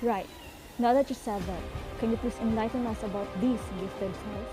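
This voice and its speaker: A woman's voice: on the high side, moderate pace, music in the background, natural voice. A woman playing a stern, businesslike investigator in a radio drama, formal and insistent. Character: stern businesslike female investigator questioning students.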